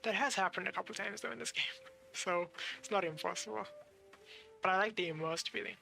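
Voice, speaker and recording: Creaky, bright-voiced game streamer thinking aloud. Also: medium pitch, fairly quick, faint music behind. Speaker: streamer playing a video game. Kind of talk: casual let's play commentary.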